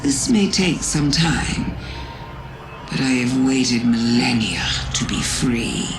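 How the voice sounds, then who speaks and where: A woman's voice: extremely low pitch, very animated, slow, exaggerated voice. A woman playing a scheming, ancient alien witch queen in a video game, savoring her words theatrically. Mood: sly, patient. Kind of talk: video game cutscene acting. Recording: echoey, with loud music behind. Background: music.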